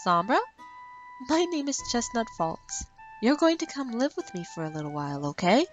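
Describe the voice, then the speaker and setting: A woman voicing a kindly, motherly mare from an orphanage, speaking gently and encouragingly. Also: on the high side, very animated, normal speed, highs cut off, faint music behind. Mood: gentle, reassuring.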